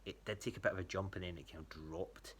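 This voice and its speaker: Scottish male, low voice, informal and halting check-in style, noisy recording. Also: fairly even intonation, a bit bright. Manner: hesitant, rambling. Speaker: athlete giving a training check-in to a coach. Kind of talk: casual spoken update.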